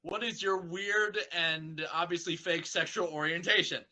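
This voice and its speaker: Cartoon pony scientist, female, bookish and exasperated, voiced by a man; forceful, dramatic, clinical delivery. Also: on the high side, steady tone, a little slow. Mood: testy.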